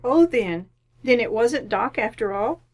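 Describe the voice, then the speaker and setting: A woman's voice: on the high side, lively intonation, a little slow. A woman playing a polite, scheming murder suspect who feigns innocence in an old-time radio mystery, in a melodramatic manner. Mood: hesitant, feigned dismay. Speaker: amateur radio actor.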